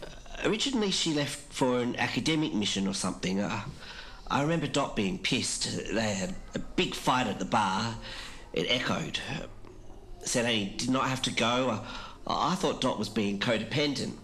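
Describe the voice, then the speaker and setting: A woman playing a frank, irreverent woman, reminiscing haltingly in a podcast interview. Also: rumbling low, expressive, a bit bright, exaggerated voice. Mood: hesitant, sombre. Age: 90s. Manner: blunt, hesitant recollection with frequent pauses. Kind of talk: interview-style podcast drama. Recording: clearly noisy interview recording.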